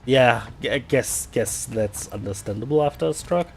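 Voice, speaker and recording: A man from Germany with a German accent, chatting casually while playing a game, often repeating words and pausing with fillers. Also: medium pitch. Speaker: gaming streamer. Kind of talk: casual gameplay chat.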